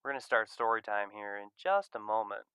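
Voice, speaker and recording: A man's voice: lowish voice, lively intonation, a little fast, bright, forceful tone, clean tone, dry recording, studio quality. A man hosting a casual livestream, chatting loosely and playfully, sometimes murmuring in singsong to himself. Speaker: livestream host of a visual novel reading. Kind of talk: casual livestream chat while waiting to start.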